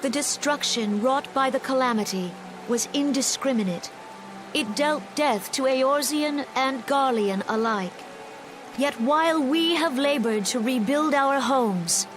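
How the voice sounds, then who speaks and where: An actress playing a solemn, compassionate leader of a forest nation, giving a formal memorial speech in a video game with stately, measured delivery. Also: female voice, high-pitched. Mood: solemn, mournful. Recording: music playing behind the voice.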